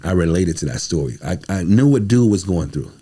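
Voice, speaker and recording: Male podcast guest, very deep and dark tone, emphatic and repetitive, conversational storyteller. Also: expressive, brisk, husky. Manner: emphatic, repeats phrases. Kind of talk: animated casual conversation, personal storytelling.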